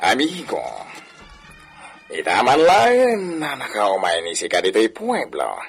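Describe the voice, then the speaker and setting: A man's voice: mid-range voice, wildly swooping pitch, slow pace, faint music behind, heavily acted. An Ilocano-accented man acting a landowner's familiar, prodding friend in a radio drama, with a theatrical, questioning delivery. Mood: concerned. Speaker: actor in a radio comedy drama.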